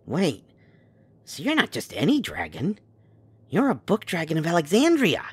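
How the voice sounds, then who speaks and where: A man playing an exasperated, wheedling fast-talker trying to plead his case in an audio drama. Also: a little high, huge pitch swings, breathy edge, heavily acted. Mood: exasperated, explaining.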